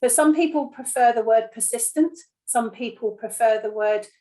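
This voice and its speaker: A middle-aged woman giving a plain, measured explanation to a lay audience as a health panellist. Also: a little high, dark tone. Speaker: nurse and panellist explaining pain.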